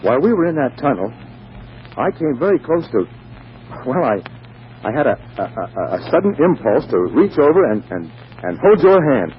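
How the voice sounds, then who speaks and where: An actor playing a shy, bumbling, lovestruck bachelor who stammers earnestly in a 1940s radio comedy. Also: male voice, medium pitch, sing-song, moderate pace, lightly acted. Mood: flustered. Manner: hesitant, stammering. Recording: muffled, noisy 1940s radio recording.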